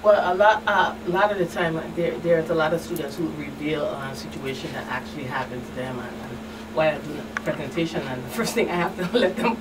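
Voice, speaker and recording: A woman's voice: deep voice, brisk. A woman with a Caribbean accent answering as a talk show guest, animatedly retelling exchanges and repeating phrases. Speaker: guest expert, community outreach specialist. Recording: distant mic, clear background noise.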